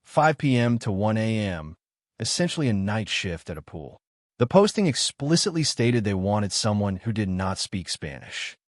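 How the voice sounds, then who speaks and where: A man narrating a true horror story in the first person, with halting, suspenseful delivery in short broken phrases. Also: on the low side, big pitch swings, no room sound, studio quality.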